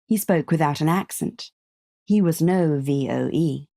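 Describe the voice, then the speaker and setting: A woman reads a scripted story with a measured, understated delivery. Her voice is low and soft-toned, and she takes her time. It is recorded close and dry. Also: creaky, no room sound. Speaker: narrator.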